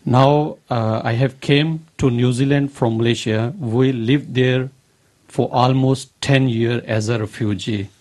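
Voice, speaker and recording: Slow-talking man on radio. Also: mid-range voice, steady tone, a little mellow. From Pakistan. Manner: earnest, halting, formal phrasing. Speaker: radio guest. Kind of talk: interview answers. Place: radio studio.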